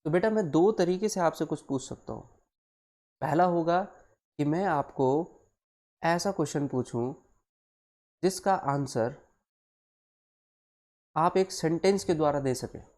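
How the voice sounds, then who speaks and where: A man teaching a live online class, explaining deliberately, repeating key phrases and switching between Hindi and English as he addresses his students. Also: on the high side, a little mellow.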